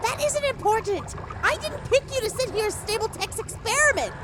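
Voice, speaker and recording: An older, bossy mare spirit, with an extremely high voice that swings widely in pitch. The recording is noisy and distant, and the delivery is urgent audio drama acting. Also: female voice, lively intonation, moderate pace. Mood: urgent, irritated. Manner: urgent, commanding.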